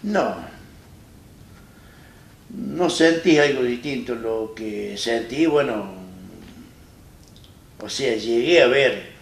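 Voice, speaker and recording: A man in his fifties with an Argentine accent, telling his own story in a plain-spoken, halting way as an interview guest. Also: medium pitch. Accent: Argentine Spanish. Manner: plain-spoken, halting and retelling with many restarts. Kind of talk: personal story in a studio interview. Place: small studio set, seated in armchair. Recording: roomy echo, distant mic, faint hiss.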